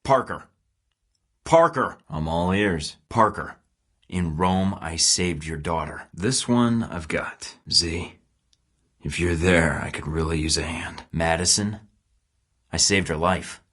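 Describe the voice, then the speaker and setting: A man's voice: low-pitched, slow, a bit bright, breathy edge, exaggerated voice. An actor playing a tough, sarcastic male field agent in a video game, speaking in dry, clipped lines. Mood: defiant. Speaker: video game protagonist, a spy.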